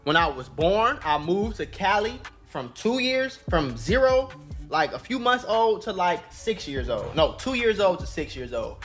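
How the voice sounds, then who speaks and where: A man's voice: sits high, very animated, a little slow, fairly bright tone, faint music behind. A man talking casually in a played clip, rambling and correcting himself mid-sentence.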